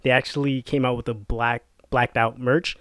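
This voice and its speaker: A man's voice: medium pitch, little pitch movement, moderate pace, fairly bright tone, dry recording, studio quality. A man co-hosting a casual podcast, talking in a laid-back way and laughing often. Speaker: podcast co-host.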